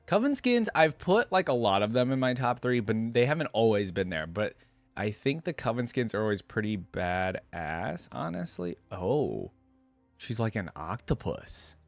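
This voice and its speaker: A man's voice: mid-range voice, very animated, moderate pace, gravelly, no echo, soft background music. A man hosting a reaction video, talking informally and musing aloud as he comments and reads along.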